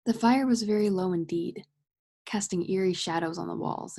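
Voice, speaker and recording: A woman narrating a radio play as a scripted story, husky and measured with dramatic delivery. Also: fairly low pitch, darkish tone.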